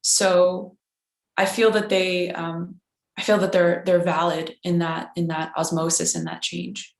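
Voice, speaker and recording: A woman giving a slow, reflective answer in a panel discussion, pausing often to rephrase. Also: lowish voice, little pitch movement, creaky, echoey room. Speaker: poet reading panelist.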